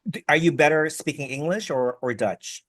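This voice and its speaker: A man's voice: on the high side, normal speed, fairly bright tone, no room sound, studio quality. A man hosting an interview over a video call, rambling and friendly, often repeating words as he gets his thoughts out. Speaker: interviewer, host. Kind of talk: casual interview chat.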